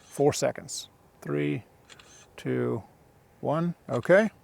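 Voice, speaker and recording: A man's voice: a little high, extremely slow, gravelly, darkish tone. A middle-aged man giving casual running commentary as he hosts a gear demonstration outdoors. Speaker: drone pilot and video host. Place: outdoors in open dry hills.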